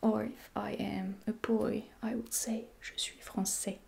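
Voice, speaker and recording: A French woman, a native French speaker with a French accent, softly whispering a patient ASMR roleplay lesson as a French teacher. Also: mid-range voice, level tone, measured pace, creaky. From France. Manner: soft whispering, patient repetition of phrases.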